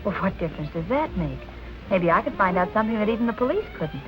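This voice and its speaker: A woman's voice: mid-range voice, sing-song, quick pace, lightly acted, low-quality recording. An actress playing a determined, plucky young woman sleuth in a 1940s film noir style. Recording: old film soundtrack with music behind.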